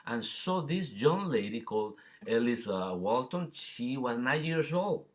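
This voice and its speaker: A man from El Salvador with a Salvadoran Spanish accent, chatting casually in a halting, repetitive, rambling way. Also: medium pitch, big pitch swings. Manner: halting, repetitive, peppered with "you know". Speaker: guest singer-songwriter. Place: live video call.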